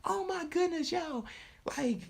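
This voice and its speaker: A man voicing an excited teenage classmate who gushes in amazement. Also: extremely high pitch, a little slow, gravelly, pitched above the performer's own voice, exaggerated voice. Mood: amazed. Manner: squealing, gushing. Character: excited high-voiced teen classmate, amazed.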